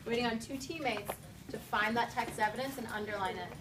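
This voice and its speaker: A woman teacher speaks in a fairly high voice, mixing brisk commands to pupils with expressive reading aloud. She is recorded in a noisy classroom, a little away from the microphone. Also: far from the mic. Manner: directive, clipped commands alternating with expressive reading. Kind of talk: classroom instruction with read-aloud.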